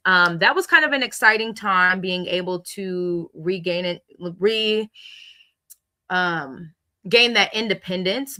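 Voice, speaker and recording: Woman, American accent, casual personal reflection, expressive, sharp bright tone, slightly low voice. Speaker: co-host.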